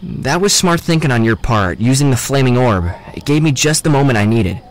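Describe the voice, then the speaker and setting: Man acting a protective young man, lively intonation. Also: medium pitch, a little fast, close to an everyday voice. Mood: reassuring. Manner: reassuring, matter-of-fact. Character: calm, protective young man, brave and practical. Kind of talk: audio drama acting.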